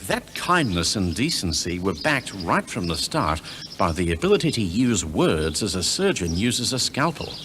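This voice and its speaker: A man's voice: sits low, big pitch swings, normal speed, noisy background. A man with an Australian accent reading scripted narration for a documentary tribute.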